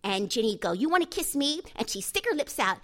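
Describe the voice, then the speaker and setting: A woman acts out an excitable boy of about seven in an audiobook. The voice is high and eager, tumbling along in run-on sentences with small-child grammar. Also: fairly quick. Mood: eager, delighted. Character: excitable seven-year-old boy telling his story breathlessly. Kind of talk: audiobook character voice.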